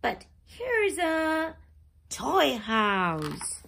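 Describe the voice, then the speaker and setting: A woman voicing a gentle, helpful little lamb girl in a storybook reading. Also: high voice, huge pitch swings, extremely slow, gravelly, slight background noise, voice pushed up, heavily acted. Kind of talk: read-aloud storybook voice.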